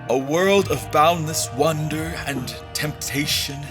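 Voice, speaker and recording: An actor playing a troubled, desperate general in an audio drama, speaking in a halting, grave, intense manner. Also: male voice, on the high side. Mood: fevered, awed. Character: troubled, desperate newly-appointed general haunted by dreams. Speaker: actor narrating in first person and playing the lead. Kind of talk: dramatic audio fiction acting. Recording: loud music under the voice.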